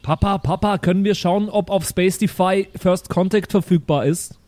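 A man's voice: high-pitched, normal speed, darkish tone, no echo, close to an everyday voice. A man playing a naive, easily confused boy who rambles and pleads with his dad in an improvised audio play. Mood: eager.

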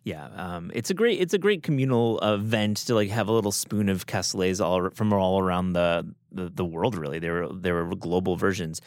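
A man with a fairly low voice hosts the conversation, eager and loose, starting his sentences over and over and padding them with "like". The sound is close and dry. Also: fairly quick, hardly any pauses, dry recording. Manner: enthusiastic, rambling, many restarts and "like". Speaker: podcast host. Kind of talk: conversational interview.

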